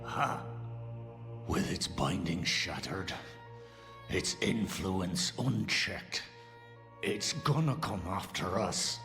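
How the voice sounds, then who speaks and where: An actor playing a desperate, upset male video game character giving dire warnings in a theatrical, drawn-out way. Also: low voice, sing-song, creaky, pushed and bright, exaggerated voice. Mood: grave dread. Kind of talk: dramatic video game voice acting. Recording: long reverb, music underneath.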